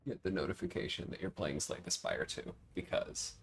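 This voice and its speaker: A man's voice: a bass voice, fairly quick. A man hosting a casual gaming livestream, thinking aloud in a rambling way as he chats to viewers. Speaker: livestream host.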